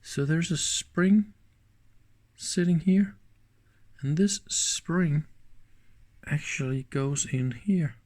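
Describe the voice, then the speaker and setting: Slow-spoken man doing teardown commentary. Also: a little high, a little mellow. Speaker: host of an electronics teardown channel. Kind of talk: hands-on teardown commentary, thinking aloud.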